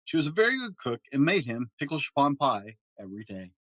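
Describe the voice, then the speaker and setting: Male storybook reader, mid-pitched, animated bedtime delivery with dramatic pauses, recorded dry. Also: dry recording. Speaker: podcast host reading a children's storybook aloud. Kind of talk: expressive storybook narration.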